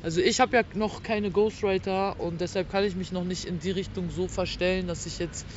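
A woman's voice: fairly low pitch, steady tone, brisk. A woman chatting casually in slang mixed with English words, recorded outdoors. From Berlin, Germany. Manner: slangy, peppered with English words and tag questions. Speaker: rapper, interview guest. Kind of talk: casual chat. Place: outdoors on a picnic blanket in a park. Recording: dull outdoor recording, faint city noise.

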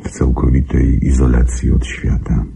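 An actor playing a grave, secretive wealthy husband in a radio drama, speaking formally with measured control in a gravelly, husky voice. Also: male voice, very deep voice, flat delivery, warm tone, full character voice. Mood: formal, guarded. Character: grave, secretive wealthy husband guarding his invalid wife.

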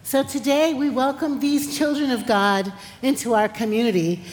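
A woman's voice: fairly high pitch, steady tone, moderate pace. A woman pastor leading a church service and welcoming new members with exuberant, whooping encouragement. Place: large church sanctuary. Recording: church hall reverb with congregation noise.

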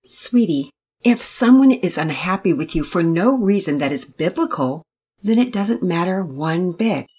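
A gentle, earnest mother giving calm counsel, read by a woman. The voice is low-pitched and a little husky, and it speaks steadily. It has a patient, guiding manner. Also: unhurried. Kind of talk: storybook reading.

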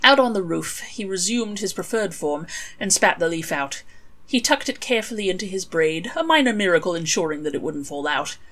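Woman narrating a story, kinda lowish voice, wry and expressive, reading her own fic. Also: a little fast. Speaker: author reading own fan fiction.

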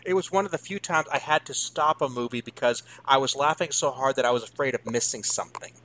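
A man in his thirties hosting a casual interview, speaking haltingly as he searches for words. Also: medium pitch, a bit bright. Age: 30s. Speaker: interview host.

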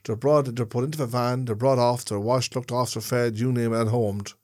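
A man's voice: medium pitch, fairly even intonation, fairly quick, a little mellow. A man with a Dublin Irish accent, co-hosting a podcast, telling stories in a rambling, casual way and checking in with his listener. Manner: rambling storyteller, checks in with "yeah?". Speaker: podcast co-host. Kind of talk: casual chat.

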